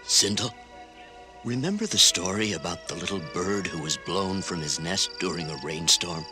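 A wounded father in a storybook recording, gentle and pained. His voice is deep, under loud swelling music. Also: lively intonation, normal speed. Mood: pained, tender. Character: wounded, tender father soothing his small child.

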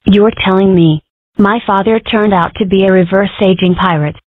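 Woman playing young cartoon fox girl, mid pitch, dry wit, deadpan, skeptical, tinny telephone-like audio. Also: moderate pace, dry recording, lightly acted. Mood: wry. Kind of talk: scripted comic-strip dub.

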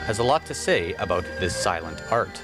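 A man reading a news report voice-over in a crisp broadcast delivery. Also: low-pitched, expressive, normal speed. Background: music.

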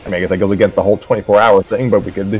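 A man co-hosting a show in a deadpan manner, mixing scripted announcements with casual chat. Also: fairly low pitch, very fast, muffled recording. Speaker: co-host of a story-reading show.

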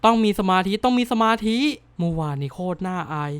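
A man voicing a bookish, easily flustered teenage schoolboy in an animated dub, grumbling to himself. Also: sits high, fast, clear, resonant tone. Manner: flustered, grumbling inner monologue. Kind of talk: anime dub acting.

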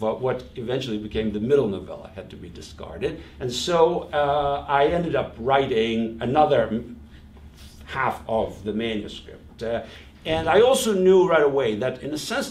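A middle-aged man from Russia speaks as an author at a book reading, addressing a small audience informally with deliberate, halting phrasing and emphatic repetition. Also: mid-range voice. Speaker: author presenting his book. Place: small library meeting room, indoors. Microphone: distant camera microphone. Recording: roomy, distant microphone with background noise.

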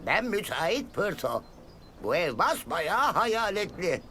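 A man voicing a male building contractor in a dubbed cartoon, warning slowly and ominously. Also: big pitch swings, music in the background, full character voice. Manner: slow, low, ominous warnings. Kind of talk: cartoon dubbing.

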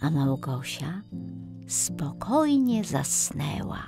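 A woman narrating a scripted children's bedtime story in a gentle, expressive storytelling manner. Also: deep voice, extremely slow, vocal fry, music in the background. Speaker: audiobook narrator.

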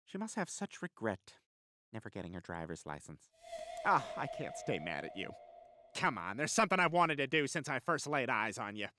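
Flamboyant cartoon alien: nasal, bright, very animated, catty and scheming. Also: male voice, medium pitch, fairly quick. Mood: theatrical, breezy. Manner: campy, theatrical, catty. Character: flamboyant, scheming, self-absorbed male alien. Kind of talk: animated comedy voice acting.

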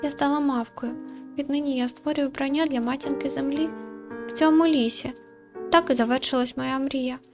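A gentle forest nymph, put on by a woman reading a game speaks in a very high voice. She is sorrowful and tender, phrasing things in a lofty fairy-tale manner over faint music. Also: steady tone, normal speed. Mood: wistful, sorrowful.

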